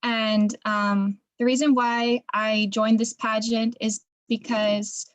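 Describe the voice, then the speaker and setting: Higher-voiced young woman, dry sound. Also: little pitch movement, slow pace, no echo. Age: young adult. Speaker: pageant contestant. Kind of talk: self-introduction.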